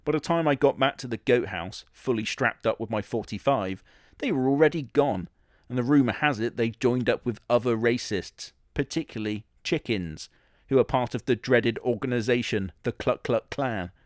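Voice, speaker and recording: A man's voice: mid-range voice, normal speed, no room sound, studio quality. Sardonic man, crude comic storytelling. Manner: sardonic, crude, swears casually. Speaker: comedy narrator in character.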